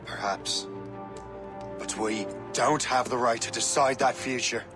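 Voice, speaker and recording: A man's voice: mid-range voice, fairly even intonation, measured pace, natural voice. Anguished, defiant young assassin played by a man, music behind. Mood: grim resolve. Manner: intense, accusing, dramatic. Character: anguished, defiant young male assassin turning against his brotherhood. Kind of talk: video game cutscene acting.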